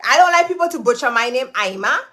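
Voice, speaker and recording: Chatty woman livestream host, bright voice, phone-mic sound. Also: high voice, animated, quick pace. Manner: chatty, hypes viewers, repeats words. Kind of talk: casual livestream chat greeting viewers. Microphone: phone camera.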